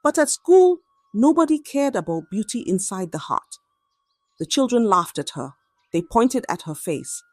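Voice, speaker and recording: A woman storyteller giving a dramatic scripted narration of a folktale in short, measured phrases. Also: a little high, very animated, darkish tone, dry recording.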